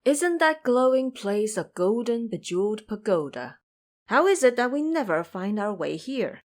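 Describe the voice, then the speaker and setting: A woman's voice: a little high, big pitch swings, moderate pace, darkish tone. A woman voicing a pious, naive elder monk in an audio drama, musing aloud earnestly. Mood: hopeful musing.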